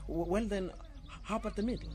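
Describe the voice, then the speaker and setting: An actor playing a conspiring, edgy schoolboy prankster in an audio drama. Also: male voice, high-pitched, brisk, dark tone, close to an everyday voice. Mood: pondering.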